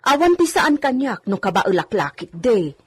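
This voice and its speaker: A woman's voice: on the high side, big pitch swings, moderate pace, husky, exaggerated voice. A woman playing an obliging older village woman with an Ilocano accent in a radio drama, deferring to her mistress.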